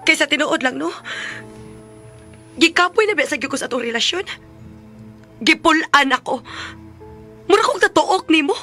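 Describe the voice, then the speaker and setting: A woman's voice: high-pitched, animated, normal speed, pauses often, bright, forceful tone, full character voice. A woman acting a restless, evasive young girlfriend in a radio drama, speaking with a Cebuano Filipino accent. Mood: weary, blunt. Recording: radio drama over music bed.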